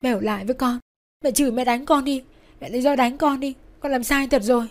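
A woman's voice: steady tone, quick pace, close to an everyday voice. A woman voicing a desperate, guilt-stricken young woman in a tragic story, tearfully pleading. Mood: grief-stricken begging. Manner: pleading, tearful, raised pitch.

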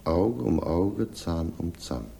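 An actor playing a self-assured, pious police inspector in a radio crime drama, measured and condescending in his authority. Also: low-pitched, vocal fry, dark tone, slight background noise, exaggerated voice. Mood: cool, warning. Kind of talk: 1960s German radio crime drama acting.

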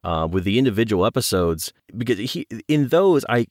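Low-voiced man, thoughtful interview guest. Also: very animated, no room sound, studio quality. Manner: thoughtful, halting, circling back to rephrase. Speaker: guest, audio drama producer. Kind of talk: conversational interview commentary.

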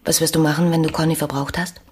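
An actress playing a decisive, concerned sister in a radio drama, speaking firmly and insistently. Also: female voice, low voice, flat delivery, fast talker, darkish tone, slight background noise, natural voice. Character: concerned, decisive sister who takes charge. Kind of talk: German radio play acting.

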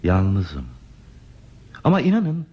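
An actor playing a lonely, gentle hermit in a radio play, speaking in a hesitant, pensive, polite way. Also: male voice, on the low side, huge pitch swings, slow pace, slightly rough, heavily acted. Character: lonely, gentle hermit man living in a remote place. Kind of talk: Turkish radio theater acting. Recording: dull, muffled radio drama recording.

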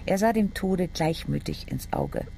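Male narrator, literary radio drama, gravelly, slightly high-pitched, serious, deliberate. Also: huge pitch swings, mellow, a little hiss or hum.

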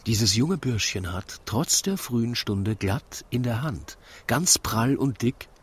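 A man narrating a comic radio play in rhyme, with wry, deliberate storytelling. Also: medium pitch, animated, slightly rough, heavily acted.

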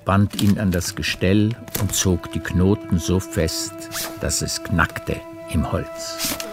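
Deep-voiced man narrating literary fiction. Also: a little mellow. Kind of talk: literary radio play narration. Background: faint music.

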